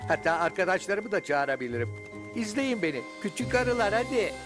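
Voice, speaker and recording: A courteous, helpful little firefly acted by a voice performer in a children's cartoon, with a mid-range voice. The delivery is eager and grateful, over clearly audible music. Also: mid-range voice, expressive, a little fast, lightly acted. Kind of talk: children's cartoon dub acting.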